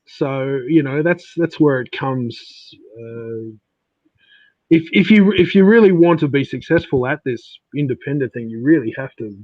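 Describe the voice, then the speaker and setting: A man in casual podcast chat, speaking in a halting but encouraging way. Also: medium pitch, expressive, no echo, dull audio.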